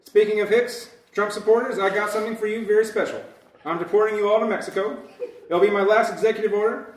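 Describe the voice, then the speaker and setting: A man's voice: high-pitched, fairly even intonation, fast talker, slight background noise, lightly acted. A man playing a brash, taunting President giving a mock-solemn, crude address to the nation in a comedy sketch. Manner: speechlike, crude and insulting. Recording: roomy, distant-mic pre-recorded sound.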